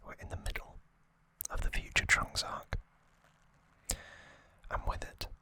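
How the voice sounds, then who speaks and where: An ASMR host whispering a recap in a breathy, unvoiced voice, hushed and pausing between short phrases.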